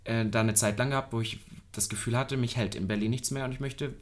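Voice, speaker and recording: A man's voice: mid-range voice, fairly even intonation, fast. A man in his twenties co-hosting a podcast, chatting in a loose, rambling and playful way. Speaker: podcast co-host. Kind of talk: casual podcast chat.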